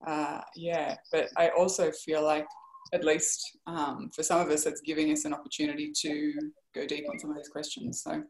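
A woman's voice: deep voice, flat delivery, moderate pace, darkish tone, slight background noise. A woman hosting a casual group video call from home, effusive and chatty, thinking aloud as she goes. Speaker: host of a community video call. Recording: roomy video call audio.

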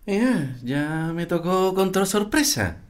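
Family-drama husband: playfully grudging, slow pace, very animated intonation. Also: male voice, high voice, full character voice. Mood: mock-grudging. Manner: mock-grumbling, playful. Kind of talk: naturalistic audio drama dialogue.

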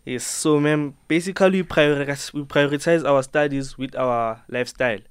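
An eighteen-year-old man answers earnestly and politely, addressing the host as ma'am. His voice is smooth and resonant. The studio sound is dry and close. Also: a little high, steady tone, normal speed, no echo. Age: teens. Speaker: dancer and fashion subculture member. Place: radio studio.

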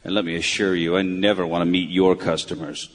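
An actor playing a weary, bitter old retired broadcaster in a live radio play, with a curmudgeonly, dismissive manner. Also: male voice, low voice, little pitch movement, brisk. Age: older. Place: town hall stage before a live audience. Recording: noisy live hall, long reverb.

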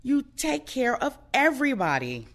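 A woman playing a blunt, sardonic, protective older woman in an audio play, outspoken and expressive. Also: high-pitched, normal speed. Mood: insistent, supportive.

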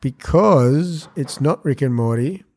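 A man's voice: medium pitch, lively intonation, takes it slowly, mellow. A man in his thirties co-hosting a movie podcast, with a dry, wry sense of humor. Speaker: podcast co-host. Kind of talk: casual chat.

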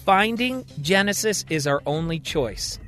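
A man's voice: fairly high pitch, animated, unhurried, a bit bright, faint music behind, pitched above the performer's own voice. A man voicing a solemn man who claims to be an alien, speaking in a firm, grave way.

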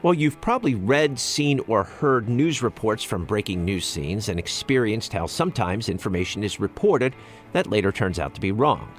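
An American man hosting radio news, reading scripted stories in a measured, explanatory way. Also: on the low side, big pitch swings, faint music behind.

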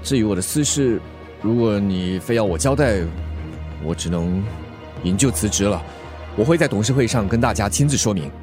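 An earnest, evasive young executive acted by a man in a radio drama. His pitch swings as he apologises and pleads, with music clearly playing behind him. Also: expressive, normal speed, natural voice. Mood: pressured, contrite.

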